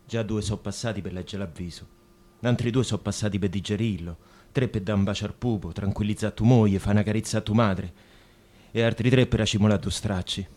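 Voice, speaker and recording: A man's voice: on the low side, steady tone, brisk, dark tone, lightly acted. An actor in a radio play voicing a wry, practical working-class Roman man with a Roman accent. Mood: wry, urgent. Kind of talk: radio drama monologue.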